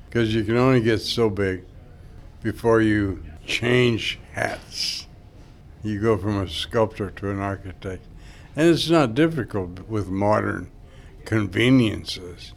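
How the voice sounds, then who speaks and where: An older man whose first language is Greek, a sculptor musing slowly and thoughtfully about his work. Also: on the low side, vocal fry. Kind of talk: interview reflection.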